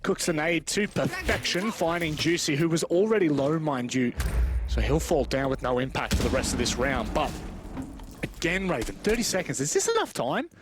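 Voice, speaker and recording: A man's voice: a little high, sing-song, normal speed. A man giving live esports commentary with informal, self-deprecating banter. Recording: game gunfire noise behind voice. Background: video game gunfire.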